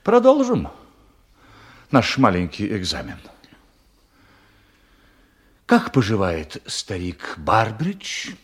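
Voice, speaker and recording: A slow, condescending superior, echoey room. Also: male voice, on the low side, wildly swooping pitch, exaggerated voice. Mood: coolly examining. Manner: pedantic, deliberate, examining with clipped questions. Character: condescending, moralizing superior interrogating his subordinate. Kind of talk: Russian radio drama acting.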